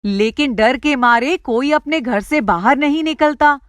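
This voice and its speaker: A woman's voice: sits high, unhurried, fairly bright tone, no echo, clean studio sound. A woman reading scripted story narration in a storyteller's role. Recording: music behind the voice.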